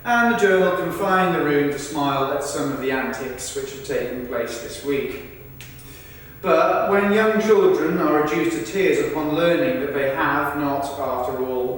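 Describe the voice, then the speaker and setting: A man performing a rehearsed reading of verbatim texts in a formal, deliberate, projected delivery. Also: on the high side, natural voice. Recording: echoey hall, far from mic, faint noise.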